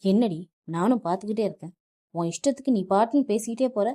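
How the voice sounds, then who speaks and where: An enraged young husband who yells harshly at his wife, as a woman storyteller voices him. The lines come loud and rushed, with a soft, mellow tone underneath. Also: medium pitch, voice pushed up, natural voice. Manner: shouting, harsh.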